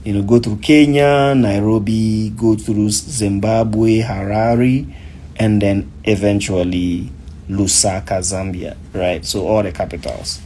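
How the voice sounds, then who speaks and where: A man's voice: on the low side, fairly even intonation, slow pace, dark tone, slight background noise. A man from Africa, a forex trader, walking through a chart in a rambling, conversational way and often asking "right?". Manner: conversational, rambling, fills with "you know" and "right?". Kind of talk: casual chart walkthrough.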